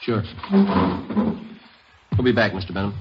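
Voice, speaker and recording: A man playing a terse, deadpan police sergeant in an old radio crime drama. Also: lowish voice, a little slow, very noisy, natural voice. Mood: matter-of-fact. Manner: deadpan, clipped questions. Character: terse, businesslike male police detective sergeant. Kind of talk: 1950s radio drama acting, narration and dialogue. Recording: dull old radio recording, faint music.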